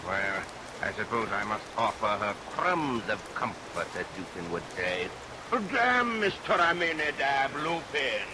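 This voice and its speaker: A man's voice: on the low side, very animated, unhurried. An actor playing a henpecked, irreverent husband and father in vintage radio melodrama, grumbling in a dry, sardonic way. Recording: vintage radio recording under rain noise.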